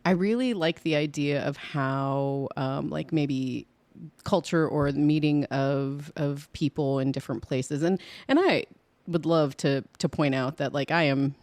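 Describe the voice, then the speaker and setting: A woman co-hosting a podcast, talking casually and reflectively, pausing to search for her words. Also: low-pitched, a little mellow, no echo. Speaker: podcast co-host.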